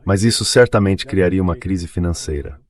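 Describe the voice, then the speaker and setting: A man's voice: deep voice, normal speed. A man giving political analysis as a commentator, in a synthetic translated voice-over. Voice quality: synthetic dubbing voice. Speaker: commentator guest.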